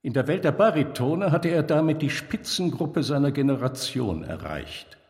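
A man reading a scripted literary story with deliberate, dramatic phrasing and pauses. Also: a little high, animated, darkish tone. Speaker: narrator. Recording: long hall reverb.

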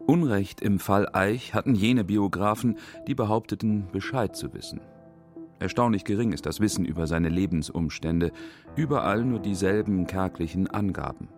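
A man delivers prepared narration for a radio feature. His pitch is on the low side. Light music runs faintly underneath. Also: normal speed, a little mellow. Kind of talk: scripted documentary narration.

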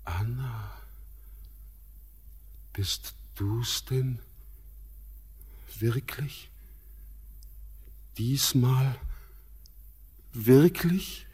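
An entranced young miner, breathy and lost. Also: male voice, mid-range voice, extremely slow, long pauses, a little mellow, heavily acted. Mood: dazed. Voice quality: breathy, whispering. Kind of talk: classic radio play acting. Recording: long reverb with music underneath.

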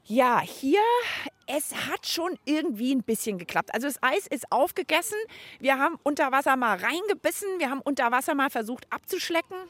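A woman hosting a children's radio show, chatting in a playful, expressive way. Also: high-pitched, brisk, no room sound. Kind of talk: lively children's show chat.

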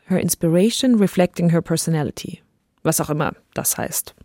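A woman's voice: fairly low pitch, fairly quick, warm tone, no echo, studio quality. A woman hosting a podcast, telling a scripted story in a conversational narrating style. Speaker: podcast host. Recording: music bed under voice. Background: background music.

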